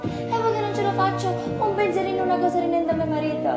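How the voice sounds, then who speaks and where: An actress playing an abused Sicilian widow, sly, bitter and defiant, with emphatic theatrical delivery in dialect. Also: female voice, extremely high pitch, steady tone, fast talker. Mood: coaxing, bitter. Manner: emphatic, swinging from coaxing to outbursts. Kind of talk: theatrical stage acting in dialect. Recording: roomy stage sound under loud music.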